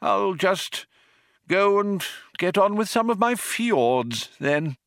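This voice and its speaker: A man's voice: high voice, big pitch swings, slow, a bit bright, dry recording, clean studio sound, voice pushed up, full character voice. A man voicing a polite, easily wounded old craftsman in an audiobook, hesitant, proud and mournful. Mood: flustered, polite. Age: older. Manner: hesitant, courteous, indignant. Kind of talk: audiobook character voice.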